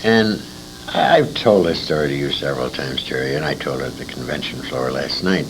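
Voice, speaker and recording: An older American man being interviewed, reminiscing in a rambling way as he searches his memory for names. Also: a little fast. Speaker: interviewee, former radio actor. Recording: noisy amateur tape with low rumble.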